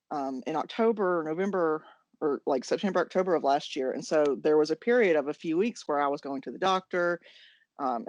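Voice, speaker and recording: A woman's voice: low voice, a little mellow, no room sound, dull audio. An American woman chatting casually as co-host of a knitting podcast.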